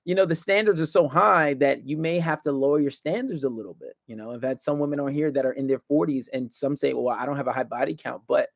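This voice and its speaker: A male host with a gravelly, creaky voice in casual talk-show mode. He gives opinions bluntly and uses coarse language, recorded dry and close. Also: mid-range voice, quick pace, few pauses, no room sound.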